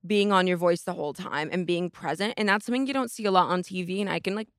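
A woman's voice: fairly low pitch, quick pace, smooth, no room sound. Woman cohosting a podcast, playful and gushy, dry close sound. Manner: gushing, playful. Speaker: podcast co-host. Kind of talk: casual chat.

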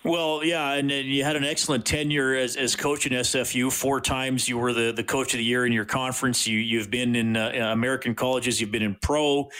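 A man's voice: mid-range voice, little pitch movement, fairly quick, few pauses, fairly bright tone, rough recording. A man hosting a sports radio show, interviewing a guest in a friendly way with stumbling restarts.

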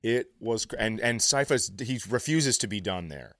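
A man telling casual, animated stories as a comedian on a podcast, often profane and easily amused. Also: mid-range voice, normal speed. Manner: acts out other people's quotes.

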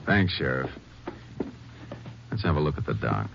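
An American actor playing a calm, courteous middle-aged Texas Ranger investigator in a 1950s western radio drama, with a measured, laconic way of talking. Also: male voice, extremely low pitch, gravelly, exaggerated voice. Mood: grave, businesslike. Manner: measured, laconic, polite questioning. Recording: muffled, noisy 1950s radio recording.

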